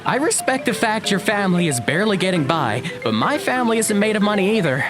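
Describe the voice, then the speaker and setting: A woman's voice: medium pitch, very animated, fast, over music, lightly acted. A woman playing a sceptical, responsible teenage boy with a reluctant, chiding manner in an audio drama. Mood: reluctant, firm.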